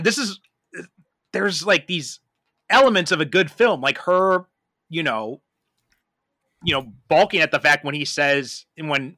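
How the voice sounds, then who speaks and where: A man's voice: fairly high pitch, bright, forceful tone, dry recording, clean studio sound. A man in casual film discussion as a podcast host, talking in a hesitant, rambling way.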